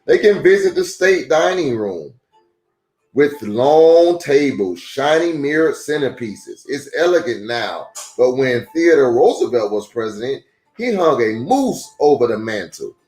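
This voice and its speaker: An echoey, roomy recording carries a man's voice as he reads a children's book aloud. His pitch moves in a lively way, and his phrasing is deliberate and a little halting, in an informal storytime style. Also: soft background music. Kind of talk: read-aloud storytime with casual asides.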